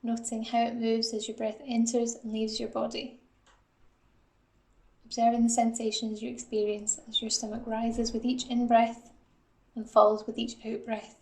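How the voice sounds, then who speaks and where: Female meditation guide, calm and instructional, gravelly fry at phrase level, roomy echoing recording. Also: fairly high pitch, moderate pace. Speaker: assistant psychologist guiding a meditation. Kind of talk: guided mindfulness body scan.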